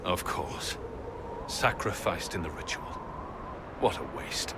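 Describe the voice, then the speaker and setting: An actor playing a stern, disapproving companion in a fantasy video game, speaking gravely. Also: male voice, deep voice, lively intonation, slow, heavy background noise. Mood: disapproving, grim. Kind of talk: dramatic video game acting.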